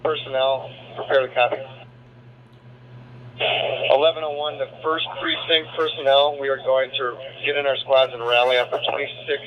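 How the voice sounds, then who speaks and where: A man's voice: mid-range voice, little pitch movement, normal speed, crowd noise. A man, a police officer, giving procedural, matter-of-fact instructions to units over radio. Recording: tinny, noisy police radio.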